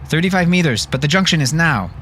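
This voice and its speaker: A man's voice: on the high side, expressive, quick pace, background noise, voice pushed up, natural voice. A man voicing an alert, eager tactical navigator in an audiobook, giving clipped and urgent reports. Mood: tense.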